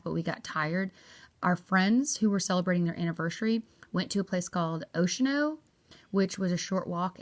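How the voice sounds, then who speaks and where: Chatty Southern woman travel vlogger. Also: on the low side. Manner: chatty, upbeat, folksy. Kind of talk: casual travel review narration.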